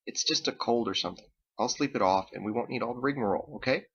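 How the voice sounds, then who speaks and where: A man voicing a casual, dismissive sick woman in an audiobook story, in a quiet, offhand manner. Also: mid-range voice, fast, vocal fry, breathy edge, muffled recording. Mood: reassuring.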